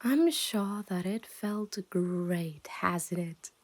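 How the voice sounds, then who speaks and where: A woman's voice: lowish voice, expressive, very slow, a little mellow. A woman playing a possessive, smug yandere kidnapper in an intimate ASMR roleplay, teasing and condescending as she often dips into whispers. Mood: coaxing.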